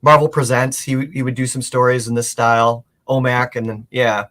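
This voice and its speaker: A man's voice: mid-range voice, little pitch movement, normal speed, fairly bright tone. A man chatting casually as a guest collector, explaining things informally and thinking aloud. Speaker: guest comic art collector.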